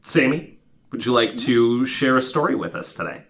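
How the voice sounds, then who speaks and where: A man's voice: mid-range voice, expressive, normal speed, fairly bright tone. A man hosting a podcast, telling stories in a casual, emphatic way with easy swearing. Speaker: podcast host. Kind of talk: casual storytelling chat. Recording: clearly noisy recording with clicks.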